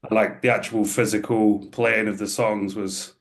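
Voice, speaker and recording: A man's voice: lowish voice, flat delivery, normal speed, creaky. A man, a band's drummer, answering interview questions in a plain, easygoing way with many likes.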